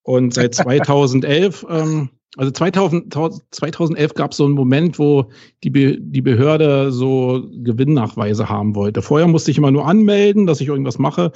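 A man in his late forties with a Berlin accent, telling his story as a podcast guest in a relaxed way with dry humor. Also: mid-range voice, moderate pace, muffled recording. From West Berlin, Germany. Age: 40s. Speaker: podcast guest, SEO agency owner. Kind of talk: casual podcast conversation.

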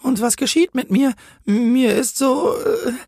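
A man's voice: sits high, big pitch swings, moderate pace, gravelly, a bit bright, husky, no echo, clean studio sound, pitched above the performer's own voice, full character voice. A man voicing a bullying, officious female traffic warden in a comic Christmas story, with a scolding, sneering manner. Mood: frightened.

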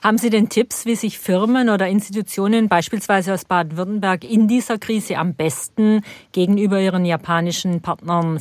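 A woman hosting a business podcast, asking measured, prepared questions. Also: mid-range voice, dry recording. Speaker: podcast host.

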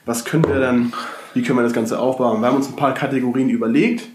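A man co-hosting a casual podcast from his shared flat, slightly congested from a cold, rambling with many fillers and restarts. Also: medium pitch, quick pace, roomy sound, noisy background. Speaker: podcast co-host. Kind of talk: casual chat.

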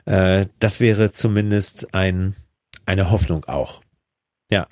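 Low-voiced male radio host, dry sound. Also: takes it slowly, darkish tone, no echo. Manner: friendly, encouraging, with frequent short agreements. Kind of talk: casual interview.

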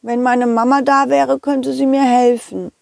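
A woman's voice: sits high, level tone, a little slow, vocal fry, a little mellow, no room sound. A woman voicing a small, shy young boy who talks in a childlike, hesitant way with little stammers.

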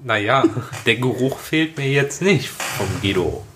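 A man in his late thirties with an average-pitched voice. He hosts a casual, humorous podcast and tells stories with colloquial swearing and mock drama. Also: lively intonation, measured pace, over music. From Germany, Rhineland. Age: late 30s. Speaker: podcast co-host. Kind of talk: casual humorous chat, anecdotes.

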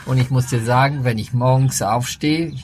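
A man's voice: mid-range voice, fairly even intonation, moderate pace, a little mellow, a little hiss or hum. An Italian man with an Italian accent, a winemaker guest speaking German as a second language, carefully searching for his words outdoors. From Italy. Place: outdoors at a wine estate.

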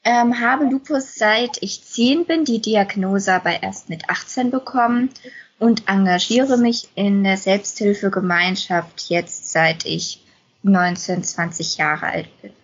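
Young woman, twenties, husky voice, conversational podcast host, muffled lo-fi recording. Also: medium pitch, slow. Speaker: podcast host, self-help group member.

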